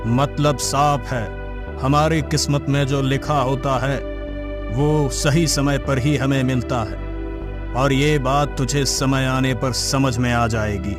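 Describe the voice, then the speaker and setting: A man plays a stern, mysterious holy wanderer in a children's cartoon. His mid-pitched voice is assured and preachy, each phrase delivered slowly and with authority over clear music. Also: fairly even intonation, close to an everyday voice. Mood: wise, reassuring. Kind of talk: children's cartoon story dialogue.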